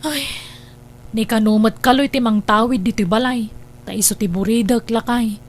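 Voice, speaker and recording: A woman voicing a grasping married daughter in an Ilocano audio drama, who turns shrill when upset. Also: steady tone, normal speed, close to an everyday voice. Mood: demanding. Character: scheming married daughter wanting the house. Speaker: voice actor.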